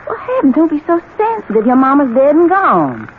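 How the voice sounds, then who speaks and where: An actress with an American Southern accent playing a spoiled, scheming young bride, coquettish and imperious, in a 1970s radio drama. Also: female voice, high voice, huge pitch swings, moderate pace, phone-quality sound. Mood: coy, wheedling. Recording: band-limited, noisy old radio recording.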